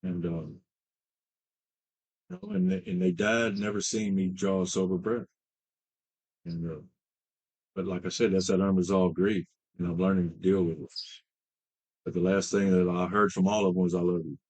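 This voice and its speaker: A man in his late fifties with a very low, husky voice shares his recovery story as a treatment client. He talks haltingly, with many fillers and restarts. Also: fairly even intonation, a little mellow, poor audio. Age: 50s. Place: treatment center.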